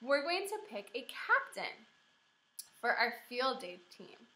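A woman as a firm, high-voiced kindergarten teacher. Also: very animated, slow, bright, forceful tone, reverberant, exaggerated voice, low-quality recording. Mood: patient, announcing.

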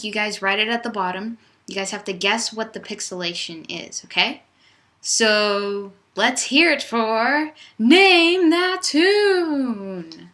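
A young woman talks to her webcam in a loose, upbeat ramble, her voice low for a woman yet bright and crisp. She sounds close to a laptop mic in a small room. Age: young adult. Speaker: vlogger. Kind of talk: casual vlog chat. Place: small dorm room, indoors. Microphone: laptop webcam at desk distance.

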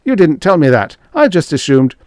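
A man's voice: mid-range voice, sing-song, moderate pace, darkish tone, dry recording, studio quality, voice pushed up, natural voice. A man voicing a nervous, defensive young doctor who protests in a pleading, flustered way, in a dramatic story reading.

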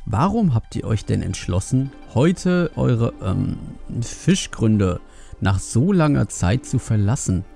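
A man voicing a sceptical, weary village elder who questions others in a measured, deliberate way for a fantasy audiobook. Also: medium pitch, big pitch swings, creaky, dark tone, faint music behind, full character voice.

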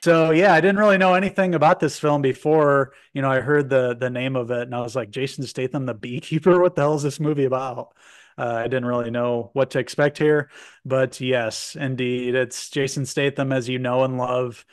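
A man's voice: mid-range voice, steady tone, normal speed, clear, resonant tone. Meandering man reviewing a film. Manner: meandering, many fillers and restarts. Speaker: movie review co-host. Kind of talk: casual film review chat. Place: home room with posters indoors. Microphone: video call webcam.

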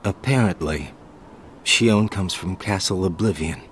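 A man voicing a cool, guarded but protective young man in a video game, in a measured, terse manner. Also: deep voice, a little hiss or hum. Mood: guarded, serious.

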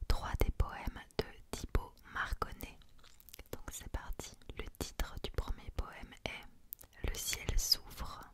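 A woman hosting ASMR, speaking in a gentle, hushed whisper as she chats and reads poetry. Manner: gentle, hushed, unhurried delivery. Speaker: ASMR host.

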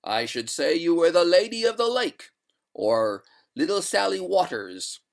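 An exasperated gentleman of the house , played by a man, with a creaky edge and a slightly high male pitch. He speaks in formal, old-fashioned phrases, dryly witty and sharp-tempered, as in a storybook. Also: very animated, unhurried, exaggerated voice. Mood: dryly sarcastic. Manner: formal, asperity, dry sarcasm. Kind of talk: storybook character voice.

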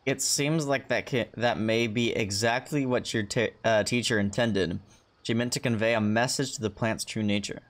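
A man's voice: on the low side, steady tone, normal speed. A man livestreaming a video game, giving casual, offhand commentary and reading dialogue aloud. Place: indoors, streaming room. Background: game music.

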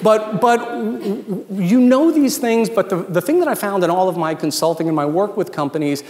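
An American man in his fifties with a notably high voice addresses a large, reverberant hall. He speaks as a keynote presenter, informal and conversational, dropping in jokes and emphatic asides. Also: animated, moderate pace, a little mellow. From Washington, D.C., United States. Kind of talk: informal after-dinner keynote talk. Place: large event hall with dinner tables, indoors. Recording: echoey hall with long reverb.

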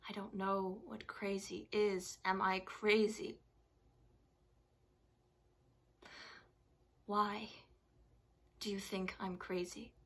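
A woman performing a traumatised, bitter bereaved mother in a modern dramatic monologue, hushed, halting and intense, often slipping toward a whisper. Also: mid-range voice, husky. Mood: defensive, bitter.

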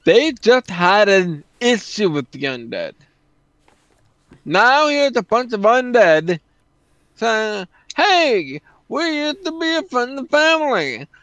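Man, high voice, lively intonation, blunt, casual livestream commentary. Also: slow pace, no room sound. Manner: opinionated, blunt, emphatic. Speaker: streamer and co-commentator. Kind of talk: casual opinionated livestream chat. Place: indoor room at a streaming setup.

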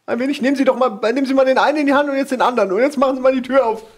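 A man playing a haughty, roguish gentleman in a tabletop game, with an affected, genteel manner. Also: extremely high pitch, racing. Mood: haughty, impatient. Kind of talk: improvised tabletop role-play acting.

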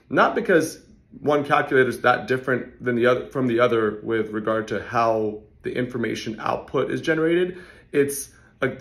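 A man in his thirties with a hoarse, worn-out voice, talking casually to a phone camera in an office hallway, explaining tools with quick salesy asides. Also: medium pitch. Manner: fast, conversational, salesy asides. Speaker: real estate investor and channel host.

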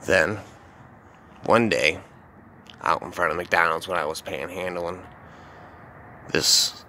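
A man with a somewhat low voice tells a dark story in a loose, rambling way, full of asides and repeated fillers. His delivery is casual and conversational. A clear noisy hiss sits under the recording. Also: fairly even intonation, slow. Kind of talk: casual first-person horror storytelling.

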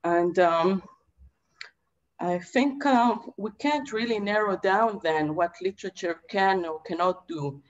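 A middle-aged woman from Israel speaking as a panelist poet on a video call from her home study, measured and thoughtful with many pauses and fillers. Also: medium pitch. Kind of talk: reflective panel talk. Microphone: video call webcam. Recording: dull lo-fi video call audio.

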